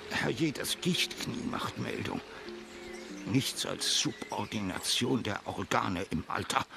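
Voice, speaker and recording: An older actor playing a gruff old soldier who mutters to himself in clipped military fragments in a radio play. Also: male voice, fairly low pitch, exaggerated voice. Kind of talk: German radio play acting.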